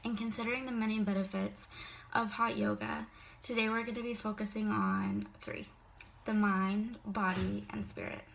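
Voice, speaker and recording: A woman's voice: medium pitch, moderate pace, gravelly, fairly bright tone, a little hiss or hum, poor audio. A young woman giving a prepared class speech, a little sick so her voice sounds slightly off, mostly recited with occasional casual fillers.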